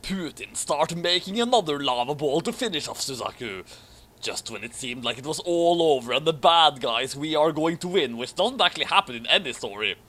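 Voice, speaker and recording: A man's voice: on the high side, expressive, normal speed, pushed and bright. A man reading a story aloud with casual, amused commentary. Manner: amused, prone to laughing. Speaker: reader of a fan fiction commentary.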